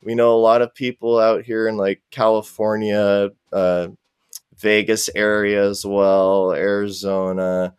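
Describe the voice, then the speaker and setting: Low, smooth-voiced man chatting casually. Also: little pitch movement, measured pace, dry recording, clean studio sound. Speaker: podcast guest, cosplay group organizer. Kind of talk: casual interview chat.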